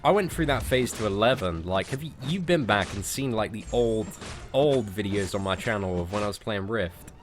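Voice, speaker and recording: A man's voice: fairly low pitch, lively intonation, normal speed, faint music behind. A British man hosting a gaming livestream, chatting casually with friendly banter and lots of filler words. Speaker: livestream host playing a mobile game. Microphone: desk microphone.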